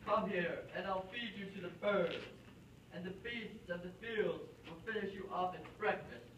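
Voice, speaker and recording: A man's voice: sits high, moderate pace, a bit bright. A man playing a boastful, menacing giant warrior in an amateur puppet show, taunting and bellowing challenges. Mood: mocking menace. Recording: roomy, distant, dull, faintly noisy.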